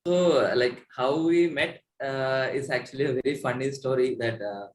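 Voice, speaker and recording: A man's voice: mid-range voice, normal speed, a little hiss or hum. A man in his twenties with an Indian accent, chatting casually as a musician guest in an interview, telling stories in a rambling way. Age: 20s. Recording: roomy, echoey sound.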